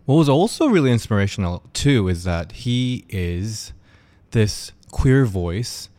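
Lively-pitched male co-host. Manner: reflective, halting. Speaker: podcast co-host. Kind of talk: casual podcast chat.

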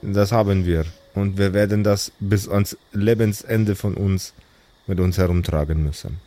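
A man playing a calm, remorseful supervillain in an improvised audio role-play, speaking slowly and solemnly. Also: low-pitched. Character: weary, remorseful male supervillain Magma Man, calm and relaxed.

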